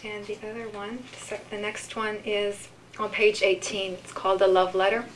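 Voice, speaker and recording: A woman giving a live poetry reading, with deliberate, measured delivery and informal commentary between pieces. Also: medium pitch, level tone, slight background noise, poor audio. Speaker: poetry reader.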